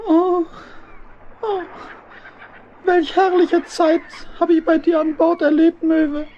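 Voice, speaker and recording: A woman's voice: very high-pitched, little pitch movement, dull audio, a little hiss or hum, full character voice. A woman playing a little male hedgehog in a children's radio play, speaking plaintively and theatrically. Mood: wistful, sad. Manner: slow, plaintive, theatrical speech with sighs and exclamations. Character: little male hedgehog, sad and aggrieved, setting off alone.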